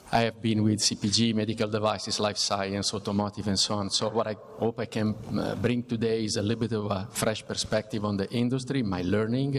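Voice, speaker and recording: A man with an Italian accent speaking as an executive on a semi-formal discussion panel. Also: fairly low pitch. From Italy. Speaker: panelist, chief information officer. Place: event room before an audience. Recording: reverberant hall, faint background noise.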